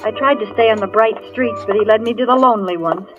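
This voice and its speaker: A woman's voice: a little high, quick pace, close to an everyday voice. An actress playing a frightened young woman in a dramatic 1940s radio thriller. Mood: tense, suspenseful. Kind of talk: 1940s radio thriller acting, first-person narration. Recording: dull vintage radio recording with music.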